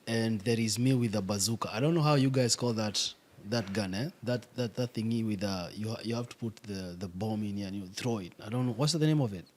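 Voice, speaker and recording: A man's voice: fairly low pitch, a little fast, hardly any pauses, a little mellow. A man hosting a podcast, asking questions casually, with frequent affirmations and some searching for words. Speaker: podcast host. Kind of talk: casual interview conversation.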